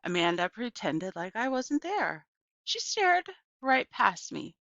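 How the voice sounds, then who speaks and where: A woman reading a children's novel aloud as narrator, with expressive storybook delivery. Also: mid-range voice, slow pace, no echo, muffled recording. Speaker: audiobook reader.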